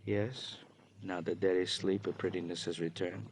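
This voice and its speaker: An actor playing a weary, compassionate police hospital doctor in an old radio crime drama, somber, clipped and a little testy. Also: male voice, low voice, little pitch movement, moderate pace, slight background noise. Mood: grave.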